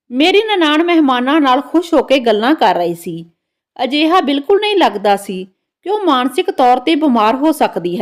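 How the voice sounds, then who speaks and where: High-pitched, lively woman narrating a story. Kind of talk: scripted first-person story narration.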